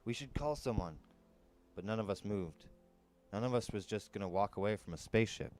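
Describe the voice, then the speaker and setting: A man with a low voice reads a first-person adventure story as a storyteller would, with dramatic delivery. Music plays faintly behind him. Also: fairly even intonation, fairly quick, darkish tone. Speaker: storyteller reading an audiobook.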